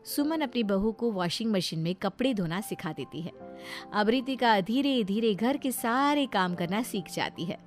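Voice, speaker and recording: A woman's voice: medium pitch, lively intonation, normal speed, faint music behind. A woman narrating a scripted story as a storyteller.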